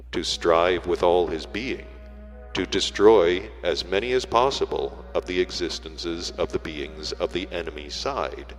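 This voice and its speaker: A man reading a literary story aloud as an audiobook narrator, in a measured, formal storytelling delivery. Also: sits low. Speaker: audiobook reader. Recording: echoey hall reverb, music behind.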